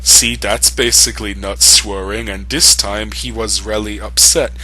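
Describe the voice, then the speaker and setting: A man's voice: fairly low pitch, steady tone, unhurried, bright, forceful tone, breathy edge, rough recording. A man reading fan fiction aloud for comedy, breaking in with mocking, amused asides. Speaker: reader.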